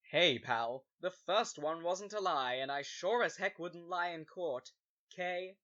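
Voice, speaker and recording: A man's voice: fairly high pitch, takes it slowly, bright, forceful tone, highs cut off. A man voicing a shifty, cheeky witness with a folksy, evasive, blustering manner in a fan audio drama. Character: shifty, cheeky male animal witness on the stand.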